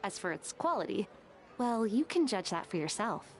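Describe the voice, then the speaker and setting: A woman's voice: mid-range voice, very animated, normal speed, soft background music, close to an everyday voice. A woman voicing a tactful, bookish young female scholar in a video game, wry, careful and a little shy. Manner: careful, wry, slightly hesitant.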